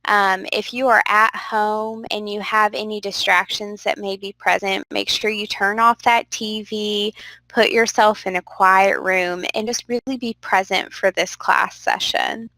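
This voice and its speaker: A woman's voice: medium pitch, fairly even intonation, a little slow, sharp tone, no echo, poor audio. A young adult woman teaching a virtual class, upbeat and encouraging, a little stuffy from a cold, talking into a computer microphone. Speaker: instructor. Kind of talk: online class lecture.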